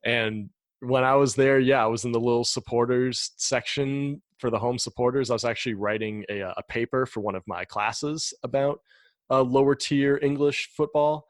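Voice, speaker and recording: A man's voice: medium pitch, normal speed, no echo. A young man from the United States, hosting a podcast and chatting with his guest in a friendly, enthusiastic way. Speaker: podcast host. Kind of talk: casual interview chat.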